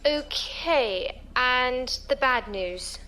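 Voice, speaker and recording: A woman's voice: high voice, animated, drawn-out words, sharp tone, full character voice, rough recording. A woman playing an inquisitive, uneasy newcomer to a space pirate crew, talking informally in an audio drama. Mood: friendly, anxious. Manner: questioning, informal. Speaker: voice actor. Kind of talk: science fiction audio drama dialogue.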